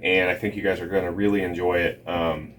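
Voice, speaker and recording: A man's voice: sits low, level tone, fairly quick, background noise. A man hosting a family vlog, chatting casually and telling personal stories. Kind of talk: casual chat and personal storytelling.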